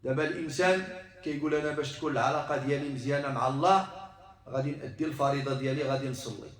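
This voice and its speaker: A man's voice: mid-range voice, fairly even intonation. Guy preaching in a mosque, really echoey, bit far from the mic, emphatic and repeats stuff. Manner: emphatic, rhetorical questions and deliberate repetition. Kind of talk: sermon in a mosque, teaching. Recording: roomy echo, distant microphone.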